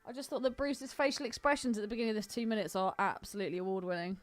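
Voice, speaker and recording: A woman co-hosting a podcast in casual film chat, with an animated, jokey and sweary way of talking. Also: mid-range voice, racing. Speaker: podcast co-host.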